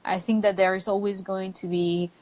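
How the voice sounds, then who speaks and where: A woman's voice: mid-range voice, level tone, normal speed, a little mellow, rough recording. A native Spanish-speaking woman with a Mexican accent, an English teacher chatting casually and thoughtfully as a guest on a live stream. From Mexico, near the US border.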